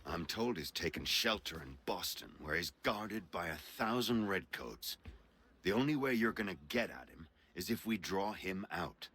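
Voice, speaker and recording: Husky, lively patriot statesman. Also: sits low, moderate pace, full character voice. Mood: confiding, brisk. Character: genial, shrewd male patriot statesman advising a friend. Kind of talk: dramatic video game acting.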